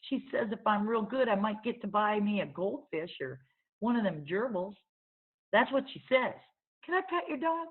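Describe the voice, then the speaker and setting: A woman's voice: mid-range voice, lively intonation, moderate pace, slightly rough, phone-quality sound, voice pushed up. A woman voicing an earnest, chatty five-year-old girl in a storybook, with blunt childish rural grammar, on a thin recording. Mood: curious, earnest. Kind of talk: storybook read-aloud character.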